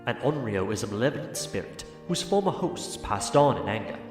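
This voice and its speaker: A man's voice: on the low side, very animated, fairly quick, over music. A man playing a wry, mocking, lonely spirit who narrates an audio drama in a theatrical manner. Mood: wry, grave.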